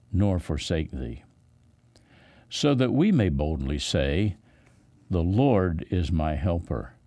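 A man reading the Bible aloud as a pastor, in a deliberate, solemn, phrase-by-phrase delivery. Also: low-pitched, dark tone, no room sound. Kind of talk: measured Bible reading with brief commentary.

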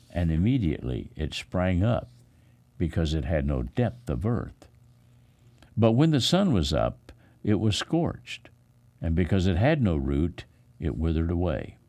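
A man with a low, dark voice reads scripture in a solemn pastoral style. His phrasing is measured and emphatic, with a lively rise and fall in pitch and a gravelly creak at the edges. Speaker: pastor reading scripture aloud. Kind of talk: solemn scripture reading for broadcast.

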